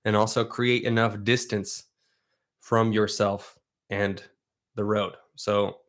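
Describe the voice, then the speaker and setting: Man, low voice, livestream host, casual rambling talk, first language Russian, home desk mic. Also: level tone, slow, a bit bright. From Southern Oregon, USA. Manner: rambling, conversational, with frequent restarts. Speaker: livestream host answering viewer questions. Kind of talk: casual chat.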